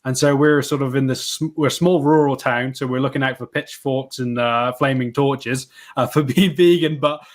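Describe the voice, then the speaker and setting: A man's voice: medium pitch, fairly even intonation, moderate pace, hardly any pauses. A man from Wales, a podcast guest, telling stories in an easygoing, self-deprecating way and laughing now and then. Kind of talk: conversational interview answers.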